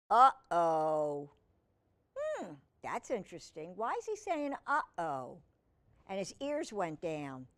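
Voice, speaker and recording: A woman reading a picture book aloud to young children, speaking expressively and putting questions to the listener. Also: medium pitch. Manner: expressive, asks the listener questions, simple child-directed wording. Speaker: children's storyteller and humane educator.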